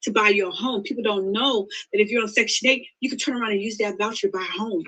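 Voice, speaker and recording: Woman, 50s, podcast guest; bright, forceful, earnest and emphatic. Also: medium pitch, fast, breathy edge, poor audio. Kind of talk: interview conversation.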